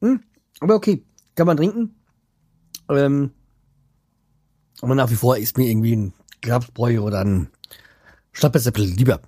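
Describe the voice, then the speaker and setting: Rambling man with a husky, dark voice. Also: medium pitch, normal speed, pauses often, no room sound, studio quality. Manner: rambling, trails off and restarts, many fillers. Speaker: vlogger. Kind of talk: casual chat to camera. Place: home.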